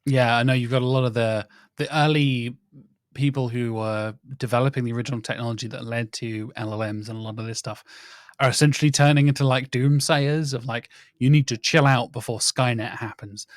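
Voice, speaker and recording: A man hosting a podcast, talking in a casual, animated and rambling way and acting out little quoted exchanges. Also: mid-range voice, fairly quick, no echo, clean studio sound. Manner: animated, rambling, quotes others in mock voices. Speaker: podcast host.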